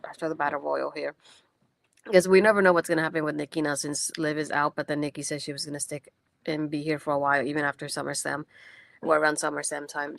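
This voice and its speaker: Woman host, low voice, chatty and enthusiastic, non-native English, dry close sound. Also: vocal fry, no echo. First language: not English. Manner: chatty and enthusiastic, many fillers. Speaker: post-show host. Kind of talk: casual wrestling event recap.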